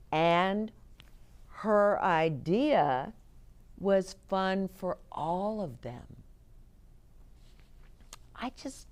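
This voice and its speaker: Animated, slow-paced woman storyteller. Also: on the low side. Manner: expressive, explains words and chats to young listeners. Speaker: storytime host reading picture books to children. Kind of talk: animated children's read-aloud with asides.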